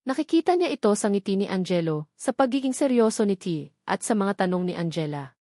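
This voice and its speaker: A woman narrating a scripted romance story in a measured, dramatic storytelling manner. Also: mid-range voice, animated, warm tone, no room sound, clean studio sound.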